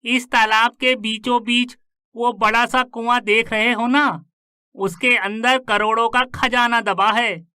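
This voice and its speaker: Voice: mid-range voice, fairly even intonation, takes it slowly, sharp tone, smooth, dry recording, studio quality. An actor voicing a greedy, scheming male swindler in a cartoon story, with a gloating, sneering delivery. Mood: enticing, greedy.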